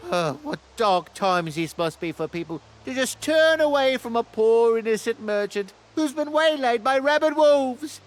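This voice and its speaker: An actress playing a self-pitying, wheedling merchant in a video game, complaining with theatrical exasperation. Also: female voice, on the high side, lively intonation, measured pace, few pauses, faint music behind. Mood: indignant, pleading. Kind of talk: video game character acting.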